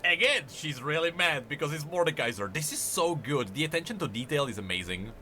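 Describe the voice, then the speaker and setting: A man's voice: fairly high pitch, expressive, a little fast, sharp tone, soft background music. A man giving enthusiastic, emphatic off-the-cuff reaction commentary on game lore.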